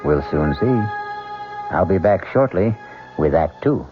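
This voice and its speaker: A man hosting a 1970s radio suspense drama, narrating from a script in an ominous, measured way. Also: sits low, animated, over loud music. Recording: dull old radio broadcast.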